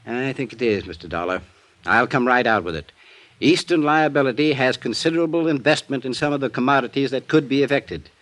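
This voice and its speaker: An actor playing a meticulous American insurance executive, polite and measured, in vintage radio drama style. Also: male voice, medium pitch, animated, a bit bright, close to an everyday voice. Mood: guarded, confiding. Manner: measured, polite, hesitant when confiding. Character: courteous, meticulous, guarded male insurance company executive. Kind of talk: 1940s-50s radio acting.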